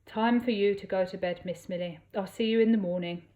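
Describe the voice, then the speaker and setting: A woman doing a gentle, reserved man. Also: medium pitch, steady tone, fairly quick, lightly acted. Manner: gentle, fumbling. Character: kind, reserved male household worker.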